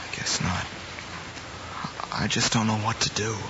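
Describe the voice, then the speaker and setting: A man's voice: fairly low pitch, fairly even intonation, a little slow, highs cut off, lightly acted. An actor with an American accent playing a troubled, guilt-ridden teenage boy, earnest and hesitant, in a hissy old television drama. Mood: anguished. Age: young adult. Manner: earnest, hesitant, clipped replies. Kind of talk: mid-century American television drama acting.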